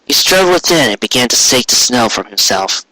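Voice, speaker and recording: A man narrates a written story in audiobook style. His voice is bright and forward, of middling pitch, recorded very dry and close. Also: very animated, moderate pace, no room sound, rough recording. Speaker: audiobook reader.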